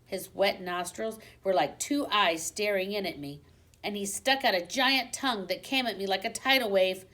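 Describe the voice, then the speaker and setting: A woman's voice: mid-range voice, normal speed. Woman reading a children's story expressively. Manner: dramatic emphasis on exciting moments. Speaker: teacher reading a children's book aloud.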